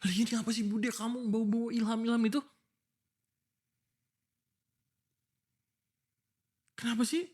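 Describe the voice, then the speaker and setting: A man's voice: very high voice, level tone, quick pace, a little mellow. A man playing an exasperated, jealous young fiancé in a boyfriend audio roleplay, arguing emotionally with pointed questions. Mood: indignant. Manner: emotional, argumentative, pleading, full of pointed questions. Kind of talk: boyfriend ASMR roleplay acting.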